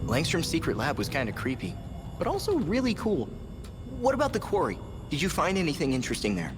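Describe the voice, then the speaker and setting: A man's voice: a little high, huge pitch swings, fairly quick, over loud music. An actor playing an earnest, inquisitive teenage boy hero in a video game, with an eager, youthful manner. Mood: eager, hopeful. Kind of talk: video game cutscene acting.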